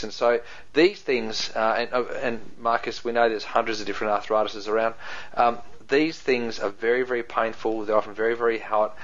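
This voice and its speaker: A man with a New Zealand accent explains health topics as a podcast guest, talking in a loose, joking way and padding his points with ums and restarts. His voice sits a little low. The recording sounds dull and lo-fi. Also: a bit bright. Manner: laughs easily, jokes, many fillers and restarts. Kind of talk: casual explanatory chat.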